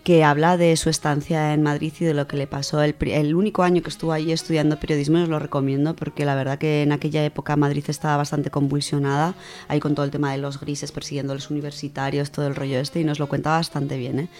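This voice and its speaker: A woman's voice: deep voice, flat delivery, fast talker, darkish tone, faint music behind. A woman with an accent from Spain presents an informal book segment in a chatty, colloquial, enthusiastic way. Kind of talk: informal spoken book review.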